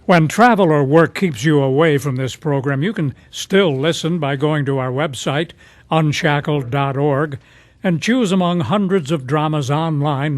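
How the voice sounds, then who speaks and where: A man announcing for a radio program in a measured, scripted style with clear phrasing. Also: a little high, dry recording.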